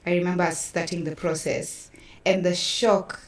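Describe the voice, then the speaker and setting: Kenyan lady guest telling stories in an interview, deep-ish voice, bit far from mic, roomy. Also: flat delivery, a little slow. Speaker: theatre producer, interview guest. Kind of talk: conversational storytelling in an interview. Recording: roomy echo, distant microphone.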